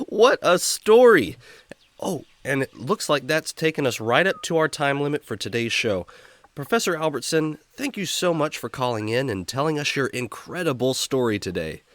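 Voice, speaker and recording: A man's voice: medium pitch, sing-song, normal speed, a bit bright, no echo. A man playing an upbeat, friendly jungle explorer who hosts stories in a children's radio drama. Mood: showy, upbeat. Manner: showman-like, friendly, emphatic. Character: upbeat jungle explorer man hosting a kids' story show. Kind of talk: kids' radio drama acting.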